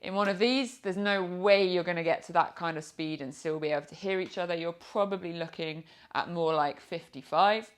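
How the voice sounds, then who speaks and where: A woman's voice: on the low side, brisk, hardly any pauses. A woman with a British accent giving an informal product review in a chatty, explanatory way. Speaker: product reviewer and motorcycle vlogger.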